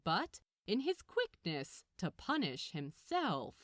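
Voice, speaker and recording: A woman reading fiction aloud as an audiobook narrator, with expressive, dramatic and deliberate phrasing. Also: medium pitch, no echo, studio quality.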